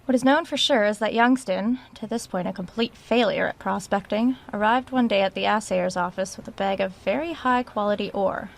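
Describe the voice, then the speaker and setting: A woman's voice: medium pitch, normal speed, gravelly, few pauses, slight background noise, natural voice. An actress playing an uneasy woman researcher who records an audio diary in a haunted house for a horror drama. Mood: measured reading. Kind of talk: horror audio drama, diary-style narration and reading aloud.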